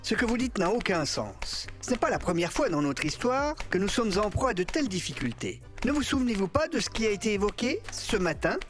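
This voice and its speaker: A man acts out an earnest official adviser in an audio drama. His delivery is pompous and pleading, swinging widely in pitch, with music underneath. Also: sing-song, fairly quick, few pauses. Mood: urgent, pleading. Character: formal, earnest male adviser, deferential yet insistent. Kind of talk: French audio drama acting.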